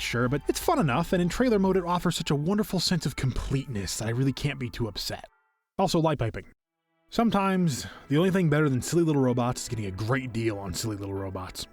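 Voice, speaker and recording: Fast-talking man narrating an enthusiastic review. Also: mid-range voice. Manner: expressive, wordy, earnest. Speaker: video host, toy collector reviewing figures. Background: faint music.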